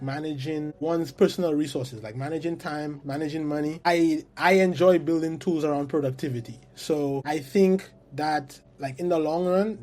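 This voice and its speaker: A man's voice: a little high, warm tone. A man in his twenties from St. Lucia, a guest talking earnestly and reflectively in a casual interview, often saying "like.". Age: 20s.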